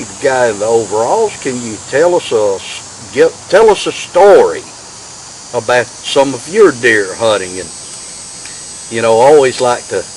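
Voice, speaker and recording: Male storyteller, Southern US accent, middle-aged or older, mid-pitched voice, folksy drawl of a yarn-spinner, some background hiss. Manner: folksy, drawn-out, with homespun sayings. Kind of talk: folksy tall-tale storytelling to camera. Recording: clearly noisy, hissy recording.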